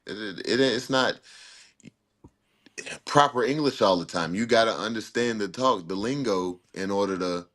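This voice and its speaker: A man chatting casually as a guest, laid-back, telling stories in a drawn-out way. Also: lowish voice, a bit bright. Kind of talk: casual chat.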